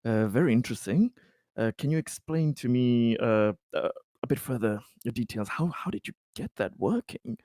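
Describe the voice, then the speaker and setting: Polite guy doing rpg dialogue, stammers a lot, normal pitch, very dry mic. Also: animated, normal speed, dark tone, no room sound. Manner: stammering, courteous. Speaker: tabletop roleplaying player. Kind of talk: casual improvised in-character conversation.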